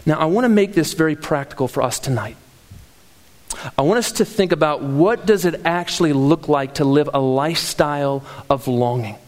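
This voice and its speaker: A man with a notably high voice preaches an earnest sermon, stacking repeated phrases to build his points. His voice rings out with a long reverb, as in a large church hall.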